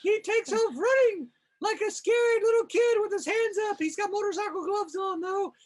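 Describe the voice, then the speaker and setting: A woman's voice: very high-pitched, moderate pace. A woman casually narrating comic panels in a wry, amused, improvised way. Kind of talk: improvised, casual scene description.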